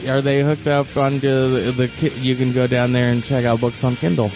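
A man co-hosting a casual chat, easygoing and halting, with many fillers. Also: medium pitch, level tone. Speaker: co-host. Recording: dry close sound with faint music.

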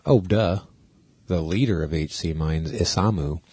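Male American podcast co-host, very low, dark-toned voice, laid-back rambling chat. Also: a little slow, breathy edge, no echo.

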